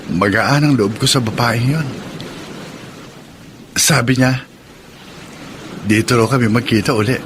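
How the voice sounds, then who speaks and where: A man's voice: mid-range voice, measured pace, pauses often, lightly acted. An actor playing a shy, grieving young man, tender and earnest, in Filipino radio drama style. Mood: mournful, tender. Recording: clear background ambience noise.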